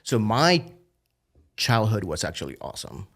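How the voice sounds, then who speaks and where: A man from Colombia in his thirties with a Colombian accent, a podcast guest telling his story in a relaxed, animated way. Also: fairly low pitch, moderate pace. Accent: Colombian Spanish. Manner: relaxed, animated storytelling with frequent "you know" and "dude". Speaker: podcast guest, biotech founder. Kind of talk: casual conversational interview.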